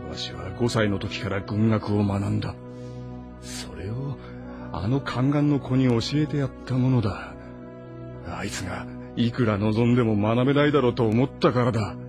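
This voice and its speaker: An actor playing a proud, embittered ageing warlord with haughty, brooding lordly speech in an audio drama. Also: male voice, medium pitch, expressive, normal speed, natural voice. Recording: music behind the voice.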